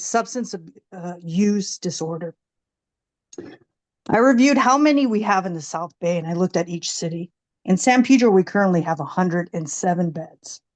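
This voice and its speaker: A woman making a prepared public comment at a meeting, with an emphatic, deliberate way of talking. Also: medium pitch, darkish tone.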